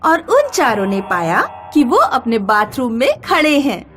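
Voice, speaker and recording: Woman storyteller, high voice, lively intonation, quick scripted children's narration, music behind.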